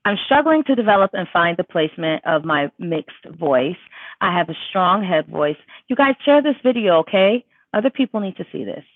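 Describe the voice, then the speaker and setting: A woman's voice: fairly low pitch, very animated, normal speed, no room sound. A woman vocal coach in a casual livestream question-and-answer session, reading viewers' questions aloud and answering in a chatty, emphatic way with many fillers. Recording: tinny dry phone-like sound.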